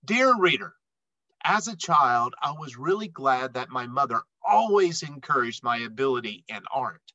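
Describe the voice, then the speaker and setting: A middle-aged man reading a children's picture book aloud expressively, with emphatic, dramatic phrasing and drawn-out words. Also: mid-range voice, big pitch swings, pushed and bright, dry recording, highs cut off. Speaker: read-aloud storyteller.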